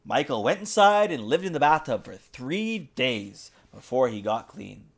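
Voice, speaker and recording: Man, children's story narration, expressive, very varied pitch, dramatic emphasis. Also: unhurried, creaky. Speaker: father reading to his young daughter. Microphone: USB desktop mic.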